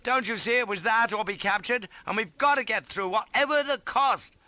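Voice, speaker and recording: Man acting a blustering British soldier rogue, forceful shout. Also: deep voice, moderate pace, no room sound, pitched below the performer's own voice. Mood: self-righteous. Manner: shouting, bullying, self-righteous.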